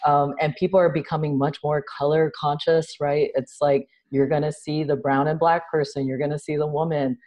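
A middle-aged woman speaks over a video call from a room at home. Her voice is deep and mellow for a woman. She tells stories in an animated way and acts out the other people in them. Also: normal speed, few pauses. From New York City. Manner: animated storyteller, gestures a lot, laughs. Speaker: guest, social entrepreneur. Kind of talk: casual interview chat. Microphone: video call webcam.

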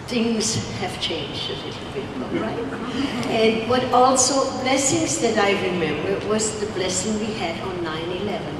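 A woman's voice: mid-range voice, background noise. An older woman giving a rambling welcome speech into a handheld microphone, often repeating and restarting her words. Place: large winery production hall with steel equipment, indoors. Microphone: handheld microphone through a PA. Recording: echoey hall heard from far off through a PA.

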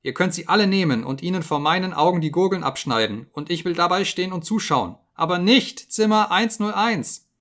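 A man's voice: lively intonation, brisk, fairly bright tone. A man voicing a shrieking, terrified prisoner. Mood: desperate. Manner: shrill, frantic pleading and screaming. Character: terrified emaciated male prisoner, begging wildly.

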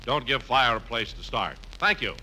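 A man's voice: medium pitch, lively intonation, moderate pace, very noisy. An American man announcing in a scripted 1950s radio style as a program announcer.

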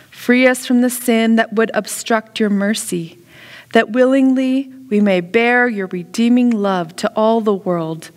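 A middle-aged woman pastor leading confession and prayer in a measured, solemn way. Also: mid-range voice, long reverb tail. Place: church sanctuary, indoors.

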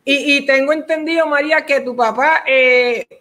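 A man's voice: very high-pitched, fairly even intonation, moderate pace, bright, forceful tone. A podcast host interviewing a guest in a casual, playful way, mixing English phrases into his Spanish.

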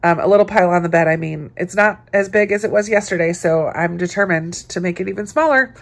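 A woman's voice: fairly low pitch, fast, creaky. A woman vlogger chatting casually and informally to camera about her day, in an easygoing way. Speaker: book vlogger, host. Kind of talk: casual weekend vlog chat to camera.

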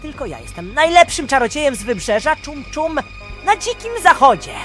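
Scornful show-off wizard, piercing and bright, all exclamations, radio play. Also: sits high, sing-song, moderate pace, soft background music. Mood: boastful, scornful. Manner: theatrical, exclaiming. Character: boastful wizard gunslinger, shrieking and scornful. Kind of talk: amateur cartoon-based radio play acting.

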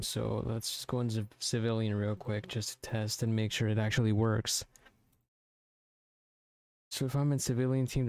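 A man narrating a software tutorial informally, thinking aloud step by step into a close mic. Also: mid-range voice, dry recording. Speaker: tutorial presenter.

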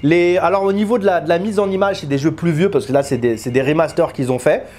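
Chatty man, slightly high voice. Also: quick pace, a little mellow. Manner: informal, slangy, chatty, gesturing. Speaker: video game reviewer. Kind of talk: casual talk to camera, reviewing games. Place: small indoor room with bookshelves.

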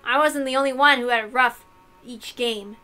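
A brooding young game master, acted by a woman, earnest and bitter in a dramatic visual novel reading. The voice is fairly high for the part, with faint music underneath. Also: normal speed, pushed and bright.

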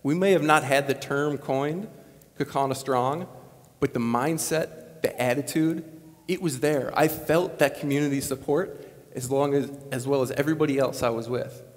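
A man's voice: medium pitch, moderate pace. A man in his late twenties from Wisconsin giving an earnest, conversational commencement speech on a lapel mic. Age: late 20s. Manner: earnest, conversational, animated gestures. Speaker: commencement speaker. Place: large school gymnasium, on stage. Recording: long gym reverb.

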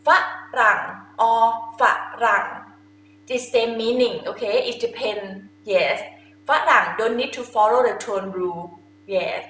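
High-voiced young Thai woman teaching. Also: fairly bright tone. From Thailand. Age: young adult. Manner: informal, simple explanations with checking questions. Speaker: language teacher. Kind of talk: instructional lesson to camera. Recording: echoey room with faint noise.

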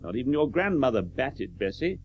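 Actor as an expressive, genteel English lord. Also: male voice, mid-range voice, normal speed, lightly acted. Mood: genial. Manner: formal, genteel. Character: courteous aristocratic English lord, urbane family friend. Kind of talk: radio play acting. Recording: dull, hissy old radio recording.

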